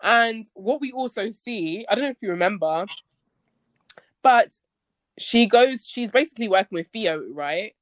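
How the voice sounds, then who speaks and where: A woman hosting a casual podcast chat about a TV series, expressive and slangy. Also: a little high, a little slow, dry recording. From Nigerian background. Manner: expressive, slangy, acts out quoted lines. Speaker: podcast host.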